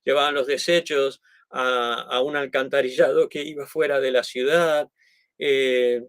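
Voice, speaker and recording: A man's voice: mid-range voice, steady tone, a little slow, fairly bright tone, clean tone, dry recording. A middle-aged man from Argentina with an Argentine Spanish accent, explaining things in conversation with many restarts and tag questions. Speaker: guest.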